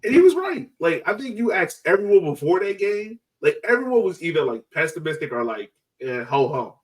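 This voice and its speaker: A man chatting casually as a sports fan podcast host, excited and slangy, swearing and repeating phrases for emphasis. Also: high voice, a little fast, distant mic.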